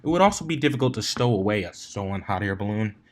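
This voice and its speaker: A man's voice: on the low side, expressive, fast talker. A man reading a fan fiction story aloud as its narrator, in scripted storybook style.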